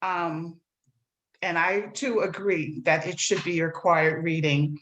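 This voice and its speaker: A woman at a literary reading, speaking and reading aloud from nonfiction in a measured, earnest manner. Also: lowish voice, fairly even intonation, fairly bright tone. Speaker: reader at a literary read-in. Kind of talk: introducing and reading aloud a nonfiction book excerpt. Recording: roomy, echoing sound.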